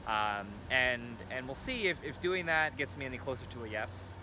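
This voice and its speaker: Male vlogger, mid-pitched, halting and informal, thinking aloud over noticeable outdoor traffic noise. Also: animated. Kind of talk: casual spoken vlog, informal talk. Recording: noisy outdoor ambience with traffic.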